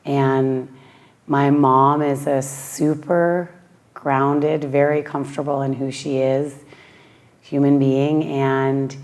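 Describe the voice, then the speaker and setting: A woman from Western Massachusetts with a raspy voice, a yoga teacher speaking slowly and thoughtfully in a heartfelt personal interview. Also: a bass voice, a little mellow. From Western Massachusetts, USA.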